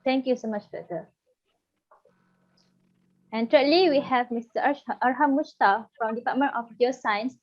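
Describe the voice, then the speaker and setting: A woman's voice: fairly high pitch, moderate pace, pauses often. Upbeat woman moderating a webinar. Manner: upbeat, reads speaker bios. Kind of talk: formal introductions. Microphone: video call. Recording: lo-fi video call audio.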